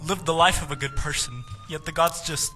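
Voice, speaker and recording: A man's voice: on the high side, brisk, natural voice. A man playing a weary, plain-spoken commoner in video game townsfolk dialogue. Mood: worried. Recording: distant voice with music behind.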